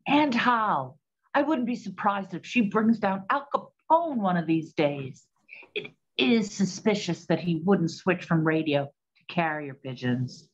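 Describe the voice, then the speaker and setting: Woman playing a sharp-tongued detective, slightly muffled. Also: fairly low pitch, animated, a little slow, husky. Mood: suspicious. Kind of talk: 1920s period radio-play acting. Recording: slightly dull lo-fi sound.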